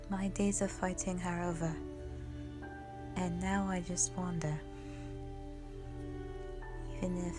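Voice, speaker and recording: A woman voicing an ancient, gentle female dragon who speaks softly and slowly, often in a whisper. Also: fairly low pitch. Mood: soothing. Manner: soft, slow and soothing, with many whispered lines. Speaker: voice actor in an audio roleplay. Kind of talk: audio roleplay acting. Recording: music playing under the voice.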